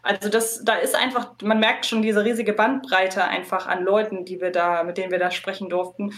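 Woman, medium pitch, enthusiastic and chatty, casual podcast interview. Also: steady tone, a little fast, a bit bright. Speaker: podcast guest, fan podcast co-host.

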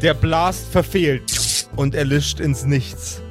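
A man narrating as game master in a dramatic improvised pen-and-paper story, with deliberate, drawn-out phrasing. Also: a little high, faint music behind.

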